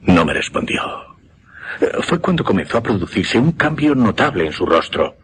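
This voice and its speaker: An actor with an accent from Spain playing a shaken, courteous gentleman who hesitantly recounts a supernatural horror in a radio drama. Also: lowish voice, sing-song, moderate pace, slight background noise. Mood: haunted, solemn.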